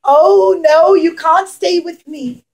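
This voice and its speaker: A woman's voice: extremely high pitch, a little mellow, heavily acted. A woman voicing an unwelcoming villager in a children's puppet show, giving exaggerated excuses to turn away a guest. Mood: whiny, apologetic. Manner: drawn-out, exaggerated apologetic excuses.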